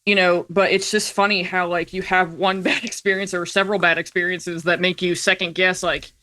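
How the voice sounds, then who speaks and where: Bright-voiced woman chatting candidly. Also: fairly low pitch, flat delivery, fairly quick. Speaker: podcast guest, creative filmmaker. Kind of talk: casual, candid conversation.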